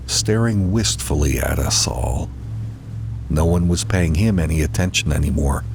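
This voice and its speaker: A man's voice: deep voice, a little mellow. A man narrating a dramatized first-person horror story, with music behind him.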